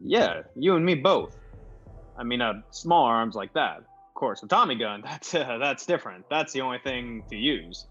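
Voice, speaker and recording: Man acting a husky slangy movie tough guy. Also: medium pitch, big pitch swings, moderate pace, fairly bright tone. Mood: affable. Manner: slangy, clipped, hesitant stammers. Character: tough-talking movie gangster star, affable but wary. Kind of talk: old-time radio comedy play acting. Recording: dull lo-fi audio with music behind.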